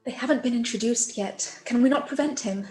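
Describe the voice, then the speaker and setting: A mild, earnest young gentlewoman from a period radio drama, played by a woman. Her voice is fairly high and warm, recorded in an echoey room. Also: fairly quick, soft background music, natural voice. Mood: gentle concern. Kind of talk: period radio play acting.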